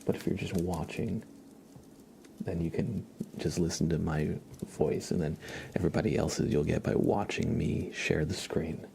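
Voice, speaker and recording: A man hosting an ASMR livestream, talking to viewers in a soft, hushed, gentle and halting way. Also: low-pitched, fairly even intonation, creaky, slight background noise. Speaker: live streamer, ASMR host.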